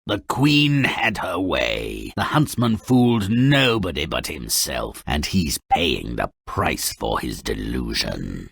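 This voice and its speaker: A man voicing a sardonic, grotesque little dwarf storyteller in a video game, gleefully malicious and melodramatic, with a raspy, gravelly voice and a sneering, mocking delivery. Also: fairly low pitch, bright, forceful tone, dry recording, heavily acted. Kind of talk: theatrical video game character narration.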